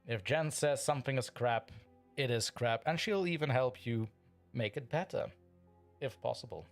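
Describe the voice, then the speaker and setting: A man's voice: mid-range voice, normal speed, soft background music. Chatty man hosting a livestream. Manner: chatty and playful, often chuckling. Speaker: livestream host reading chat. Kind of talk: casual livestream chat.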